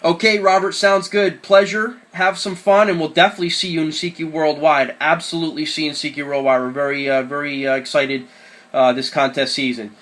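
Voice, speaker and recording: A man's voice: on the high side, level tone, a little fast, a bit bright. A man chatting casually and enthusiastically as an amateur radio operator during a radio contact.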